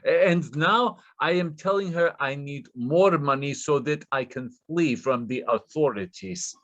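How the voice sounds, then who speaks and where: Guy acting nervous russian scammer in a play, slow and stuttery, eager to please, bit muffled recording. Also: on the high side, fairly bright tone. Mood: anxious, reassuring. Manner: stammering, deferential, broken English. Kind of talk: staged play reading.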